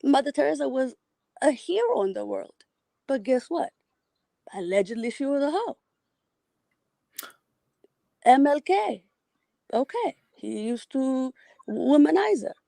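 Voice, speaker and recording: Ethiopian-accented woman caller, rambling and philosophical, gravelly and mellow, dry sound. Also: high voice, big pitch swings, a little slow, pauses often, no room sound. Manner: rambling, philosophical, repeats words. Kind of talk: casual call-in chat.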